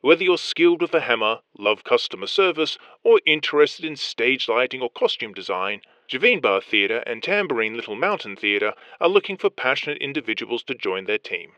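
Animated, bright-voiced male announcer, dry sound. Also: medium pitch, a little fast, few pauses, no echo, lo-fi sound. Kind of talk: scripted community announcement.

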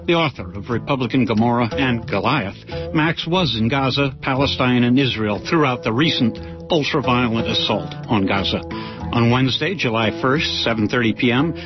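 Male radio announcer, mid pitch, scripted promo read over a clear music bed. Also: normal speed, highs cut off.

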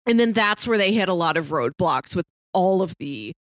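A woman's voice: lowish voice, animated, normal speed, no room sound, studio quality. A woman co-hosting a podcast, talking through a review in casual conversation. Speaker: podcast co-host.

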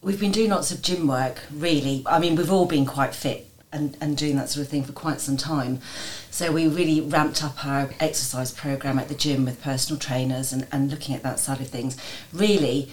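Low-voiced woman in her fifties. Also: fairly even intonation, moderate pace, few pauses. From Jersey. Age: 50s. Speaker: guest. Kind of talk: casual interview answers.

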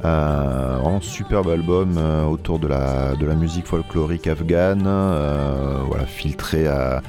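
A man's voice: a bass voice, a little slow, warm tone. A man with a French accent hosting a radio music show, talking casually with many hesitant filler sounds.

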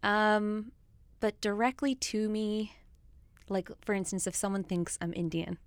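A woman's voice: mid-range voice, steady tone, measured pace, dry recording. A woman who grew up speaking Swahili, talking at length in a casual film discussion, outspoken, with many fillers.

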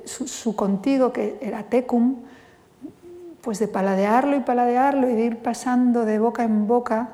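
A woman from Spain with a Spanish accent, giving a thoughtful, measured talk as a poet and lingering on her words. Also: on the high side, warm tone. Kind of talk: reflective lecture with poetry reading. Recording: long reverb from a hall.

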